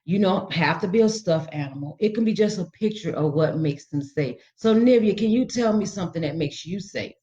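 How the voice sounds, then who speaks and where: Slightly muffled, lower-voiced woman teacher. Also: animated, fairly quick, hardly any pauses, dark tone. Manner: informal, instructive, repeats key words. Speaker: early childhood educator, radio guest. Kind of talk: explanatory chat to parents. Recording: slightly dull radio audio.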